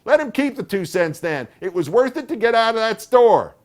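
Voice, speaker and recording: A man's voice: on the high side, moderate pace, voice pushed up, natural voice. A man reading a story aloud, voicing a stubborn, irritable aunt in a storybook. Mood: indignant. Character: fussy stubborn older aunt, tart and irritable.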